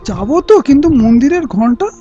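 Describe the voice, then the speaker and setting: A man playing a meek, high-voiced temple bell-ringer. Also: very animated, fast talker, dark tone, soft background music. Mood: anxious, pleading. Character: reluctant, humble male temple bell-ringer pleading to stay. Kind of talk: theatrical radio-play acting. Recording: muffled lo-fi audio-play recording.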